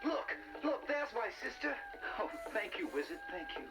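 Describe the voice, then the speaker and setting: A man's voice: high-pitched, very animated, moderate pace, gravelly, exaggerated voice. A man voicing an eager, naive young sidekick creature in a children's read-along story, with a wide-eyed, exclaiming manner. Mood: excited. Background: music.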